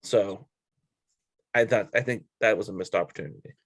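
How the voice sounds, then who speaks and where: A man's voice: on the low side, normal speed, breathy edge, dry recording. A man co-hosting a casual sports talk show, with a blunt, opinionated way of talking. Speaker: sports podcast co-host. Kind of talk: casual sports chat, counting down a list.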